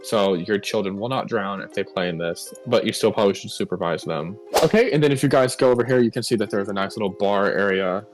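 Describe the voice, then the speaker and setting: A man's voice: lowish voice, fast talker, hardly any pauses. A man co-hosting, chatting casually as he guides viewers on a game tour. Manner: chatty, upbeat guide talk. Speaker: co-host giving a game tour. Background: background music.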